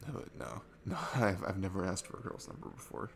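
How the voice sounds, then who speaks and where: A man's voice: deep voice, big pitch swings, fairly quick, vocal fry, a little hiss or hum, full character voice. A man playing a shy, sweet, nervous young guy at a bar in an intimate ASMR roleplay, stammering and hesitant. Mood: bashful, pleased. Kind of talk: ASMR roleplay audio, intimate one-sided conversation. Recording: music behind the voice. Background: music.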